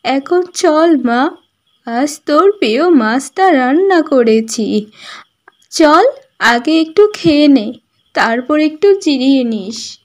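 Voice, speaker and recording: A woman's voice: high-pitched, lively intonation, takes it slowly, pauses often. A woman voicing a doting, melodramatic mother-in-law in a family drama cartoon. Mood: tender, proud. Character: proud, emotional, doting older mother-in-law.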